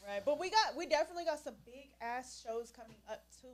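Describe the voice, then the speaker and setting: A woman's voice: sits high, animated, fast talker. A woman co-hosting a casual livestream chat, chatty and easygoing, with lots of fillers and easy laughter. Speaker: podcast co-host.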